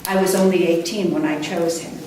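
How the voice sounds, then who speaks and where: A woman poet reading her own work live at a book launch, with a measured, deliberate delivery and careful pauses. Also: fairly low pitch, steady tone. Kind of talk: live poetry reading with brief introductions. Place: indoor room before a live audience. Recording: distant mic, echoey room, faint noise.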